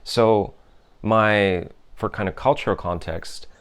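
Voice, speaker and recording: Young guy, low smooth voice, musing about his life slowly, lots of ums and restarts. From Europe, raised in several countries. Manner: thoughtful, unhurried, many fillers and restarts. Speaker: host. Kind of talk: reflective personal monologue.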